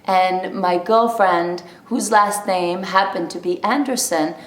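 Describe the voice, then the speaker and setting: A woman from Norway talks straight to camera in a relaxed vlog, telling her story slowly and with plenty of laughs. Her voice sits a little low, and the room gives it a noticeable echo. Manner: chatty, animated storytelling with laughs. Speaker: vlogger. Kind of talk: casual talk to camera.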